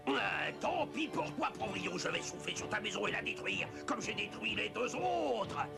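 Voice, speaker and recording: An actor playing a sly, hungry big bad wolf in a cartoon, gravelly and theatrical, wheedling and blustering. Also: male voice, high voice, very animated, measured pace, exaggerated voice. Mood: threatening, impatient. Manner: theatrical, mock-polite wheedling turning to threats. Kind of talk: exaggerated cartoon dub acting. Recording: music behind the voice.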